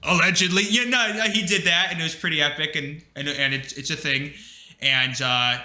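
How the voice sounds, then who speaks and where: A man's voice: on the high side, normal speed. A young man co-hosting a casual podcast with his brother, rambling with lots of fillers. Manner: rambling, filler-heavy, animated. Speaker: podcast co-host. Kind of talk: casual chat. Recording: echoey room.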